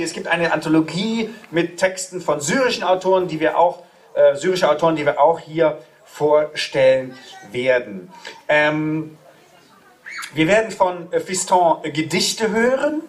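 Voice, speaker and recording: A man moderating a live literary reading, talking hesitantly with many pauses and fillers. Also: fairly high pitch, slight background noise. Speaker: event moderator.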